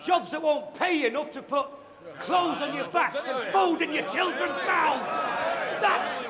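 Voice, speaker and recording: An actor playing a defiant working-class agitator, declaiming scornfully like a public orator in a period drama. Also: male voice, very high-pitched, unhurried. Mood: fiery, bitter. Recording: long hall reverb, crowd noise.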